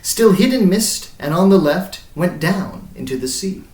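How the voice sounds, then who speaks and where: A man's voice: high-pitched, lively intonation, unhurried, darkish tone. A man voicing a haunted, grey-bearded old sailor who recounts his voyage in dramatic, incantatory verse that swells and falls away. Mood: remorseful. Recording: roomy echo, distant mic.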